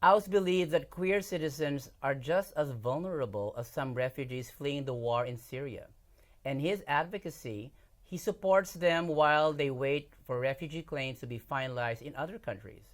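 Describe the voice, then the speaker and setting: A man's voice: a little high, normal speed. A man co-hosting a podcast, reading a prepared introduction earnestly. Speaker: podcast co-host. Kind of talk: scripted show introduction.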